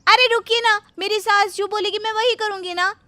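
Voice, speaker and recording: A woman's voice: expressive, a little slow, a bit bright, dry recording, exaggerated voice. A woman voicing an eager, overly obedient young daughter-in-law who talks sweetly and takes everything literally. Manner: sweet, literal-minded, high and singsong.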